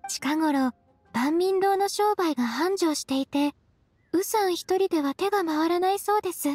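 A polite young woman with a very high, gentle voice. She speaks formally and earnestly, in dry, close game dialogue with faint music underneath. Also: normal speed, no echo. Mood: reassuring, polite. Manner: soft-spoken, formal, courteous. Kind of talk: Japanese game voice acting.

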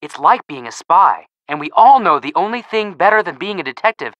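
Game role: upbeat kid-brother detective, American, playful and teasing, forward bright tone, very animated. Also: male voice, high-pitched, brisk, dry recording, lo-fi sound. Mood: excited. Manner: playful, enthusiastic, joking. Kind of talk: video game voice acting.

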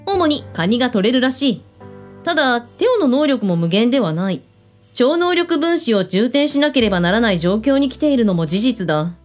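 A woman's voice: fairly high pitch, very animated, normal speed, soft background music. An actress in a radio drama playing a breezy, blunt female hotel owner who speaks with authority. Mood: explanatory, confident.

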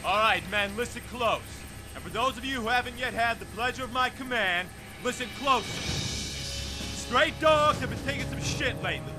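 A gruff army sergeant. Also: male voice, very high-pitched, animated, moderate pace. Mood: commanding. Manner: barking orders. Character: gruff male army sergeant commanding troops. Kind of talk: game cutscene voice acting. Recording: game audio with music behind.

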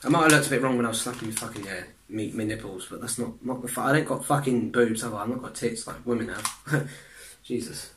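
A young man, chatting in a rambling way for a reaction vlog in his bedroom, restarting sentences, clearing his throat and swearing often. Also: medium pitch, fast, fairly bright tone, slight background noise. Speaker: vlogger reacting to videos. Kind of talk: casual reaction vlog chat. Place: small bedroom indoors.

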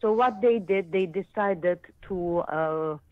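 A woman's voice: on the low side, slow pace, dry recording. A woman in her fifties from Lebanon calling in to a show to share a personal account, hesitant and often searching for words. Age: 50s. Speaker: phone-in caller. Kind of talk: personal account on a radio call-in. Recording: tinny narrow phone line.

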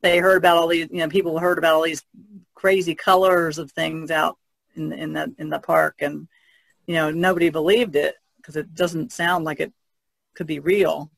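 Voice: mid-range voice, flat delivery, normal speed, creaky, fairly bright tone, dry recording, poor audio. A podcast panelist speaking informally in a casual conversation. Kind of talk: casual chat.

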